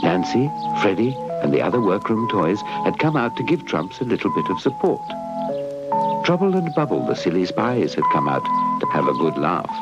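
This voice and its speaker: A British man narrating a children's story in an animated, expressive storybook style. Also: fairly low pitch, normal speed. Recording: dull lo-fi VHS tape transfer. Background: music.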